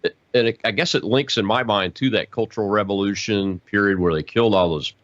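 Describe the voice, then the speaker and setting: A male host asking interview questions in a halting way, with many fillers and restarts. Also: on the low side, fairly bright tone, no echo, highs cut off.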